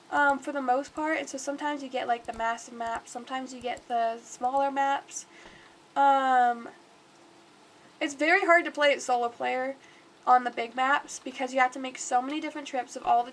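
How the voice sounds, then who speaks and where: A woman's voice: high voice, slight background noise. A congested woman livestreaming, chatting casually and rambling through gameplay commentary. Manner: chatty and rambling, talks to the game aloud.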